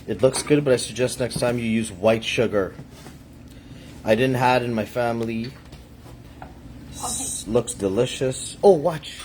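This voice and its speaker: A man's voice: medium pitch, unhurried, pauses often, a little mellow, slight background noise. A man with a Guyanese accent chatting upbeat while he cooks in a home kitchen, talking to viewers. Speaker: guest chef. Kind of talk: casual cooking chat.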